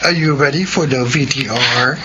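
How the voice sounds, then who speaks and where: Casting: actor playing a slick male talent agent, coaxing, hesitant pauses, radio drama, dull recording with clear noise. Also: medium pitch, lightly acted. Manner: smooth-talking, hesitant pauses, code-switching. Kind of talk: Filipino radio drama acting.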